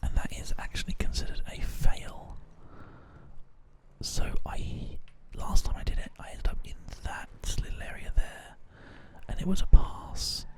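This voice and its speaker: A man whispering ASMR game commentary in a gently explanatory, rambling way. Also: unhurried. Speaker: host of an ASMR gaming show.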